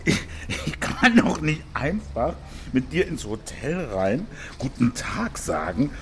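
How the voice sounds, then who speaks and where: An actor playing a gentle, modest, grateful older homeless man in a children's radio play. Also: on the high side, sing-song, slow, full character voice. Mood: amused, touched.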